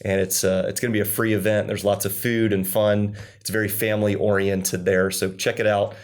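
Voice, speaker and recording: Low-voiced man co-hosting a podcast. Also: flat delivery, fairly quick. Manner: upbeat, promotional, with fillers and restarts. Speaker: podcast co-host. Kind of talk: casual local community podcast chat.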